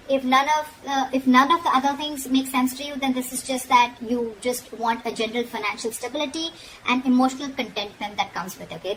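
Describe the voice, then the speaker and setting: High-voiced woman giving a tarot reading. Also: fairly bright tone, poor audio. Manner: frank, admonishing, often checks in with "okay?". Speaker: tarot reader. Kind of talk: informal tarot reading addressed to the viewer. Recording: noticeable background noise.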